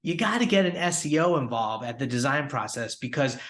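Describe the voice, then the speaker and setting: A man's voice: mid-range voice, expressive, brisk, fairly bright tone. A man hosting a weekly industry podcast, recapping news in an upbeat, conversational way with fillers and restarts. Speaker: podcast host.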